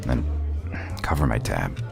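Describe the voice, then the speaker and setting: An actor playing a curt, world-weary sheriff with a gravelly voice in an audio drama. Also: male voice, very deep voice, animated, unhurried, faint music behind, full character voice. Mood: gruff, dry. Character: gruff wolf sheriff in human form, curt, world-weary.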